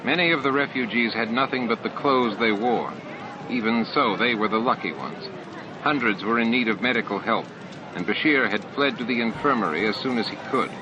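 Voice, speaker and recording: A man's voice: medium pitch, a little fast. A man reading a science fiction novel aloud as an audiobook narrator, with sound effects added behind him. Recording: dull lo-fi tape with clear background noise. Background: added sound effects and ambience.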